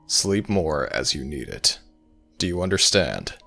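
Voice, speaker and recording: An ancient god of the dead, played by a man in an intimate audio roleplay. His voice is extremely deep and gravelly, with a forceful edge. He speaks in formal, measured phrases, gruff yet protective. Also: sing-song, soft background music, heavily acted. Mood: soothing, reassuring. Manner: formal, measured, archaic phrasing, addressing a listener as child. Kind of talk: ASMR audio roleplay, slow intimate dramatic monologue.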